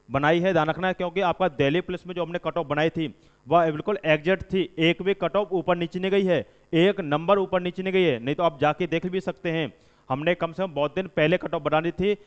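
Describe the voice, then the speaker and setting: A man's voice: fairly high pitch, smooth. A man explains things informally to students as an exam-coaching presenter, instructing and repeating points for emphasis. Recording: dull lo-fi recording, long reverb.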